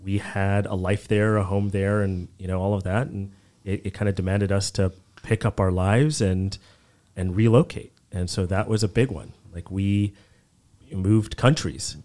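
A man's voice: low-pitched, steady tone, a little slow, dark tone. A man, chatting casually and reflectively on a podcast, often circling back as he phrases his thoughts. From Canada. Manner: reflective, rambling, hesitant phrasing. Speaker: podcast host, nonprofit executive director. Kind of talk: casual conversational podcast chat.

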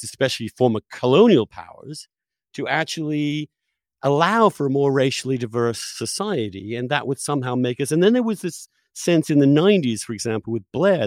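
A man's voice: mid-range voice, no echo. A man hosting a conversational interview, putting opinions and questions in an emphatic, probing way. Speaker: interviewer, host.

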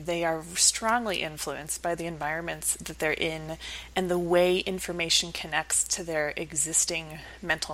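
A woman with a fairly low but bright, forward voice talks as an academic guest. Her delivery is conversational and reflective, laying out ideas in an articulate, explanatory way. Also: rough recording. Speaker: academic researcher as podcast guest. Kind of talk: conversational interview storytelling.

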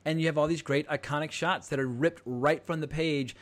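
A man's voice: fairly high pitch, fairly even intonation. A man with a North Carolinian accent hosting a podcast, joking, stammering now and then, swearing. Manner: quick talker, stammers, swears, jokes. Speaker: podcast host. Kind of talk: casual podcast chat.

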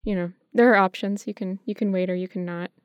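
An American woman co-hosting a podcast, explaining things in a measured, conversational way. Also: medium pitch, fairly even intonation, clean tone, dry recording, highs cut off. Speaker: podcast co-host.

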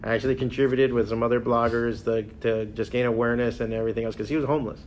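A man's voice: medium pitch, very fast, a little hiss or hum. A man from the Miami area, a comics creator chatting casually on a convention panel and acting out other people's lines as he tells stories. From Miami area, USA. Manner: animated storyteller who acts out quotes.

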